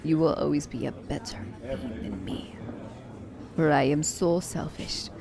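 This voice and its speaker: Slow, low-voiced woman acting a teasing assassin. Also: lively intonation, vocal fry, a crowd in the background, full character voice. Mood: cold warning. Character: confident, self-declared selfish young woman assassin, teasing her captive. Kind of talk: ASMR romance roleplay acting.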